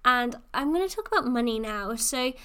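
A woman's voice: on the high side, animated, normal speed, bright, forceful tone, clean tone. A woman, hosting a casual solo podcast and chatting freely, with plenty of "like" and "you know.". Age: 20s. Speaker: podcast host.

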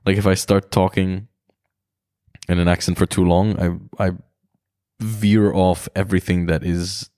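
Man, very low voice, loose reflective podcast ramble, frequent swearing, dry close sound. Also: flat delivery, unhurried, long pauses, a little mellow, no room sound. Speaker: podcast host. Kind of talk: casual solo podcast monologue. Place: his own room.

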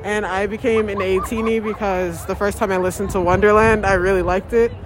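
A woman's voice: medium pitch, steady tone, a little fast, soft background music. An eighteen-year-old woman from Los Angeles earnestly explaining how she became a fan. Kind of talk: fan self-introduction.

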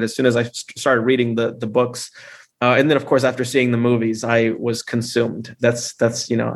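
A man in his thirties from Honduras, a filmmaker chatting as a podcast guest in an upbeat way, with lots of fillers and restarts. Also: mid-range voice. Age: 30s. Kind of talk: casual podcast interview.

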